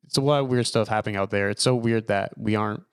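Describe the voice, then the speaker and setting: A man's voice: on the low side, quick pace, clear, resonant tone, no echo, studio quality. A young adult man hosting a podcast interview, talking casually and rambling with lots of fillers and restarts. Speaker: podcast host.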